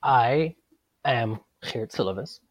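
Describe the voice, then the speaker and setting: A man guesting on an interview, explaining things in a relaxed, matter-of-fact way with a touch of dry humor. His voice is mid-pitched and even. The recording is dry and close. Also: slow, no echo. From Netherlands, raised abroad. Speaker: guest game designer. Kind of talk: interview answers.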